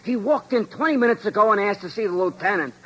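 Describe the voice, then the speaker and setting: An actor playing a harried, earnest, slightly dim police sergeant in 1950s radio style, talking bluntly and ungrammatically. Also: male voice, high voice, lively intonation, fast, sharp tone, heavily acted, rough recording. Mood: worried. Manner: blunt, ungrammatical cop talk. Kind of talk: 1950s radio detective acting. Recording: vintage radio broadcast sound.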